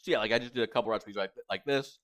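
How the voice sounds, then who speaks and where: A young man in his twenties talks casually to his livestream chat, his voice sitting somewhat low. He rambles and circles back on himself as he answers viewers. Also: rapid-fire. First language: English. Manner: rambling, chatty, reads chat aloud. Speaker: streamer.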